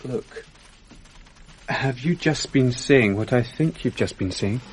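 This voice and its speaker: An actor playing a reserved media colleague, terse and understated, in a naturalistic radio drama. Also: male voice, medium pitch, lively intonation, takes it slowly, breathy edge. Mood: dismayed. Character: reserved, quietly dismayed male media colleague. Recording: faint hiss on archive radio recording.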